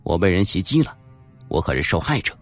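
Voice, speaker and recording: Dry, calm male doctor for audiobook, deep register, wry delivery narrator acting him. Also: a little fast, faint music behind. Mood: puzzled. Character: dry, wry male psychologist, calmly questioning.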